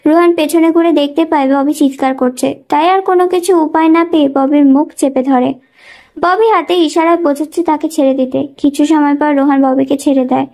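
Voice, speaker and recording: A woman's voice: sits high, fairly even intonation, fairly quick, a little mellow. A woman reading a scripted romantic story as a dramatic narrator.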